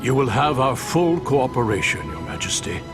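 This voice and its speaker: An actor playing a composed, courteous king in a fantasy game cutscene, speaking in a formal, measured, authoritative way. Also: medium pitch, sing-song, over music, lightly acted. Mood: resolute. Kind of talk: scripted video game cutscene acting.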